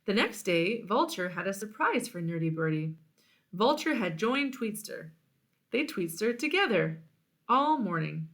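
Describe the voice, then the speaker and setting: A woman reading a children's storybook aloud in an expressive narrating style. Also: medium pitch. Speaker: storybook reader.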